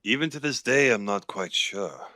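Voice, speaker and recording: A man acts out a guarded Irish werewolf alpha for an audio drama. His voice sits deep in the register and is recorded dry. He chooses his words deliberately and gravely, like a seasoned pack leader. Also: animated, bright, forceful tone, dry recording. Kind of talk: audio roleplay acting.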